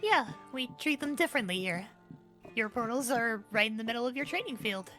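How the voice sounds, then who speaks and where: Woman acting a high-voiced, blunt young queen. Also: expressive, a little fast, a bit bright, soft background music. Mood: casual, skeptical. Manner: informal, blunt, questioning. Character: young, casual, blunt queen in a fantasy world. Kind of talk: fandub fantasy drama acting.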